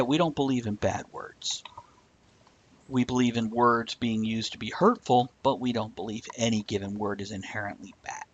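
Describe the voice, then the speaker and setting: A man hosting a live show in casual, irreverent chat, swearing freely as he goes. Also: mid-range voice. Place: room, live stream. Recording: muffled, with clear background noise.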